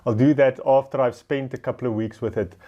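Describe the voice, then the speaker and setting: A man's voice: medium pitch, steady tone, a little fast, no echo, dull audio. A man giving a casual, unscripted product test as a vlogger, thinking aloud in an informal, self-deprecating way. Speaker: vlogger testing motorcycle gear. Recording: noisy motorbike and outdoor background noise.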